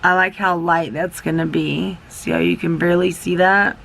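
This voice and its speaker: A woman's voice: fairly low pitch, fairly even intonation, normal speed, vocal fry, fairly bright tone. A young woman vlogger chatting through a beauty box unboxing, rambling and enthusiastic, a little sick and losing her voice. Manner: rambling, self-interrupting, enthusiastic.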